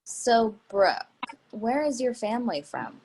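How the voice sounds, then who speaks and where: A woman's voice: fairly high pitch, big pitch swings, slow pace, darkish tone, slight background noise. A woman acting a suspicious, snobbish middle-aged mother in a play reading, cutting and condescending. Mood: pointed.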